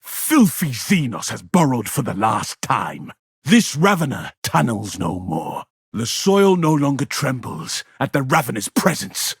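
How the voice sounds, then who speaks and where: An actor playing a stern, righteous space-marine warrior in a video game, delivering pompous battle declarations in a gravelly, husky voice. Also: male voice, mid-range voice, very animated, unhurried, dry recording, studio quality, exaggerated voice. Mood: triumphant, zealous.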